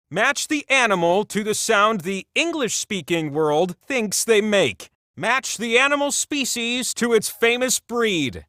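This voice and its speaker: An actor playing a giant, boastful, all-knowing wheel that hosts a video game quiz with theatrical grandeur and wry asides. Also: male voice, sits high, pushed and bright, no echo, studio quality, heavily acted. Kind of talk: theatrical game-show hosting.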